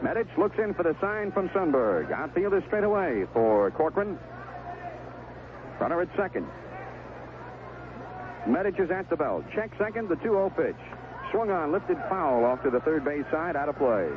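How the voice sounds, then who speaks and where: A man giving live 1970s radio play-by-play of a baseball game, describing each pitch in short, clipped phrases. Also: sing-song, moderate pace, long pauses. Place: outdoor ballpark broadcast booth. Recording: noisy, tinny narrowband vintage radio broadcast.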